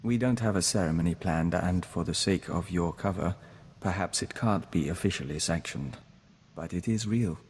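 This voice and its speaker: A low-pitched, reverberant voice of a gentle hive-mind diplomat. He speaks in a formal, measured way, with devotion, as game dialogue over noticeable background noise. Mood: tender, earnest. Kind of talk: scripted video game dialogue acting. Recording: long reverb, noisy game ambience.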